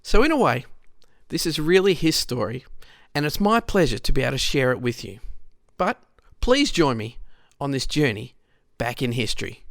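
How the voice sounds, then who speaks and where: A man's voice: mid-range voice, sing-song, normal speed, vocal fry, pauses often, a bit bright, dry recording, clean studio sound. An Australian man reading a scripted history in a storytelling way, speaking directly to the listener with conversational asides. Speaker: narrator, rescue unit member.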